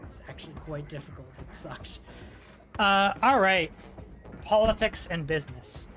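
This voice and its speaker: A man's voice: a little high, animated, a little slow, pushed and bright, faint music behind. A young man chatting casually and swearing freely, then reading a game sourcebook aloud with small stumbles. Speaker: podcast co-host.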